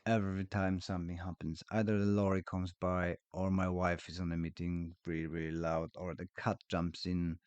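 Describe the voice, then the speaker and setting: Croaky low-voiced man hosting a podcast. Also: normal speed, few pauses, no room sound, muffled recording. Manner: relaxed, rambling explanation with frequent fillers. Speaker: podcast host and karate instructor. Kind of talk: casual solo podcast talk. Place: home.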